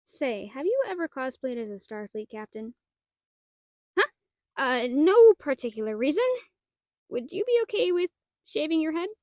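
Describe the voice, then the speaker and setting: A woman's voice: high voice, sing-song, normal speed, pauses often, darkish tone, no room sound, studio quality. A woman playing a shy, nerdy young half-dragon librarian in an intimate audio roleplay, stammering and hesitant, with bookish wording and an easily flustered manner. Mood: eager, affectionate.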